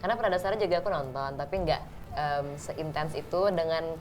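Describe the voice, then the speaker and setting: Earnest woman with a lowish voice. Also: fairly quick, darkish tone, soft background music. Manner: earnest, explaining at length with fillers. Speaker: actor guest. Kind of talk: casual interview chat.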